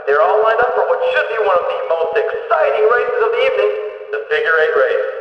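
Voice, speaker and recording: High-pitched, echoing race announcer. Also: male voice, lively intonation, soft background music. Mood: wry, rousing. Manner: booming showman delivery with drawn-out words. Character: excitable male demolition-derby race announcer, jokey showman. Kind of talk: arena sports announcing, scripted game voice lines. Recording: echoing PA in a big venue, distant, dull.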